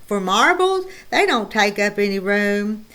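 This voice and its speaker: A woman's voice: mid-range voice, big pitch swings, a little slow, a bit bright. A woman voicing a friendly, matter-of-fact eight-year-old pioneer boy in an audiobook. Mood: eager.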